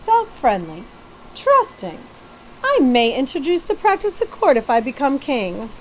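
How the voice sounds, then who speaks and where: A spoiled young prince, played by a woman reader, high-voiced and cool. He speaks briefly, in the manner of a storybook character. Faint noise runs behind the voice. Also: wildly swooping pitch, measured pace, heavily acted, rough recording. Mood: wondering.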